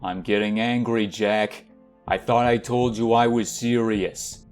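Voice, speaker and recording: Guy doing a lowish slow threatening gangster boss, short fuse, comic dub. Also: level tone, clean tone, faint music behind, natural voice. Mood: angry. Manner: threatening, domineering. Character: hot-tempered male mob boss. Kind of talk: comic book dub acting.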